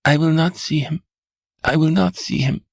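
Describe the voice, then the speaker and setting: A man's voice: a little high, fairly even intonation, normal speed, gravelly, breathy edge, dry recording, studio quality, voice pushed up. A man voicing a delirious, anguished young wife, speaking with emphatic repetition. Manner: anguished, emphatic, repeating herself.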